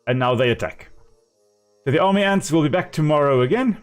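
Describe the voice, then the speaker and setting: A man's voice: on the high side, animated, brisk, faint music behind. A man with a South African accent, a native Afrikaans speaker, chatting casually and explaining as he plays a game on a live stream.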